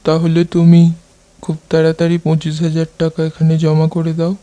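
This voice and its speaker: A man voicing a calm, matter-of-fact elder male figure in a cartoon story. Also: on the high side, normal speed, dry recording, natural voice. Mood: calm, grave. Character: calm adult male doctor and kindly grandfather.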